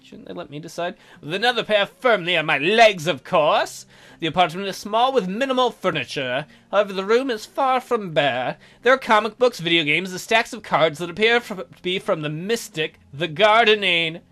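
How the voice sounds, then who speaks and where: A man's voice: high-pitched, animated, moderate pace, bright, forceful tone. A man hosting a let's play, reading a visual novel aloud in a theatrical, jokey way with asides.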